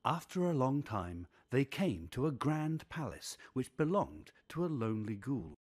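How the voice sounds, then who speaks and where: An American man narrates a children's storybook at a slow, measured pace. His voice is husky and rises and falls expressively. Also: mid-range voice, very animated.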